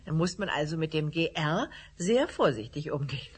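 A husky, inquisitive interviewer. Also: female voice, deep voice, lively intonation, normal speed, exaggerated voice. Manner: inquisitive, questioning. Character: woman interviewer asking probing questions. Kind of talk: radio drama acting.

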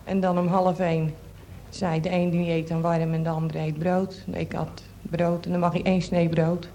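Low, flat-toned woman. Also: moderate pace, a little hiss or hum. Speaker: programme guest. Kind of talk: casual spoken explanation.

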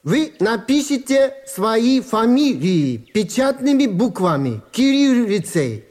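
Formal army interpreter, very high voice, slow delivery, radio drama hall reverb. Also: male voice, sing-song, darkish tone, full character voice. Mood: formal, measured. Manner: measured, formal. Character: formal military interpreter for prisoners.

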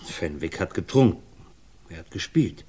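A calm explaining doctor. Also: male voice, deep voice, normal speed, slightly rough. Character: calm male doctor friend, probing theorist.

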